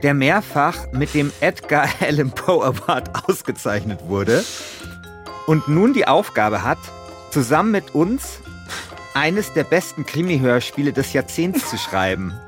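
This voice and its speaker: Animated man, slightly high voice. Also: a little slow, soft background music. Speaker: podcast co-host. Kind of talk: casual animated chat, reading out his own text.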